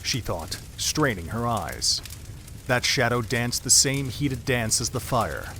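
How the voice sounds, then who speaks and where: Male narrator, mid-pitched, solemn dramatic storytelling, noisy ambient backdrop. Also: animated, takes it slowly, bright, forceful tone.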